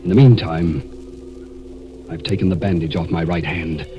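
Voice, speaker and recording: A man's voice: low voice, steady tone, normal speed, natural voice. An actor playing a desperate, cornered murderer narrating his confession in a tense, dramatic 1940s radio thriller style. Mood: grim resignation. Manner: tense, dramatic first-person storytelling. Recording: dull 1940s radio recording, music behind.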